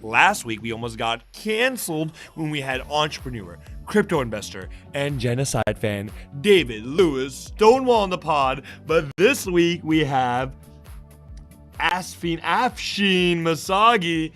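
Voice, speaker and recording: A man playing a brash, over-eager young male podcast host, loud and pitched up. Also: very animated, unhurried, soft background music. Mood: hyped.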